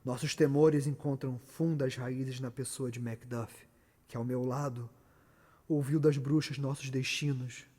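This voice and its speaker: A man's voice: medium pitch, fairly even intonation, dark tone, lightly acted. A Brazilian man playing a tormented, guilt-ridden, ambitious murderer in a solemn, declamatory Shakespearean stage monologue. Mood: quiet, brooding. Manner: declamatory, solemn, dramatic pauses. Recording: echoey hall with long reverb.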